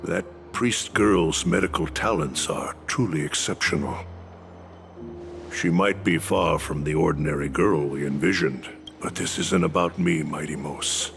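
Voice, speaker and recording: An old warrior teacher in a fantasy game. He speaks slowly and formally in a gravelly voice, stern and devoted, over clear music. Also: rumbling low, a bit bright, full character voice. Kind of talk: scripted video game acting.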